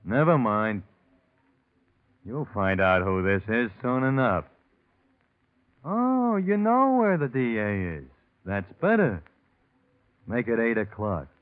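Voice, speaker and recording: An actor in a vintage radio drama playing a shifty, insistent tipster who talks in a curt, deliberate way. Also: male voice, mid-range voice, sing-song. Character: shifty anonymous male tipster, insistent and evasive. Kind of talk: 1940s radio acting. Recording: muffled, tinny old radio recording.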